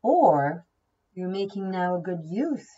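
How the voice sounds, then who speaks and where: A woman's voice: on the low side, sing-song, slow, dark tone, breathy edge, recorded from a distance, dull audio. A woman whose first language is Hebrew, giving a casual tarot reading, chatty and thinking aloud, often repeating key words. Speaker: tarot reader.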